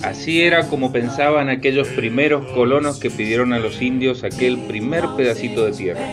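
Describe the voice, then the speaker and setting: A man's voice: mid-range voice, a little fast, close to an everyday voice. Radio drama explainer: man playing wry know-it-all friend, mid-range, emphatic, music underneath. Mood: corrective. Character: knowing, teasing male explainer correcting a naive friend. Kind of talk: radio theatre dialogue, storytelling.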